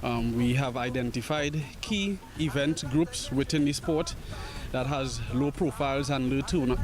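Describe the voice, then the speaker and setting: A man, an athletics coach and camp presenter, explaining his program in a measured way with fillers, outdoors at a sports field. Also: medium pitch. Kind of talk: interview on camera.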